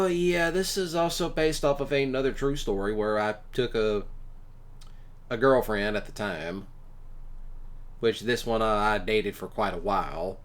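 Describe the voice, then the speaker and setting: Man, mid-range voice, folksy and blunt, casual rambling storyteller. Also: medium pitch. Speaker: ASMR roleplay creator and commentator.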